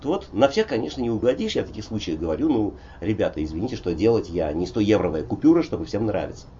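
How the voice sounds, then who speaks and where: A man in his sixties from Russia, a poet talking in a relaxed interview, rambling and digressive with many hesitations. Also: lowish voice, fast talker, lo-fi sound, a little hiss or hum. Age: 60s. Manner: digressive, frequent hesitations and "vot" fillers. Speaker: poet and polyglot guest. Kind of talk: conversational interview, discursive storytelling.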